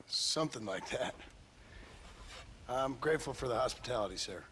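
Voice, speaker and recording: An actor playing a gruff, courteous, guarded American gunslinger in a western video game, with a terse, dry, polite manner. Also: male voice, mid-range voice, lively intonation, normal speed, a bit bright, slight background noise, lightly acted. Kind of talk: video game cutscene acting.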